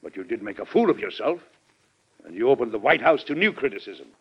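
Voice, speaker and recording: A man's voice: mid-range voice, big pitch swings, fairly quick, creaky, slightly rough, exaggerated voice. An actor playing a stern, exasperated middle-aged American president and father in an old-time radio drama, formal and emphatic. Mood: stern, sarcastic. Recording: dull old radio broadcast recording.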